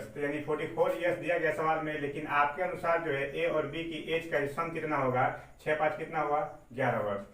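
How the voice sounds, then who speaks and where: A man's voice: fairly high pitch, a bit bright. A man in his twenties teaching an exam-prep maths lesson, explaining step by step and asking questions as he goes. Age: 20s. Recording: echoey room, mic far off.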